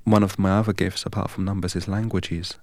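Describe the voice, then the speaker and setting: A man from England, a guest in an interview, telling his story in a measured, deliberate way. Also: low voice, little pitch movement, no echo. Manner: measured and deliberate, recites long strings of numbers evenly.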